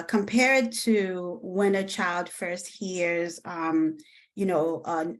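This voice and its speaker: Woman from Haiti, lowish voice, thoughtful, pauses a lot, video call panel. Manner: reflective, hesitant, many pauses and fillers. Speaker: panelist, deputy director. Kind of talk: panel discussion.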